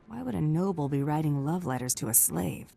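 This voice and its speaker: An actress playing a cool, wry bounty hunter in a video game, with a deadpan, sardonic delivery. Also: female voice, sits low, brisk, vocal fry, exaggerated voice.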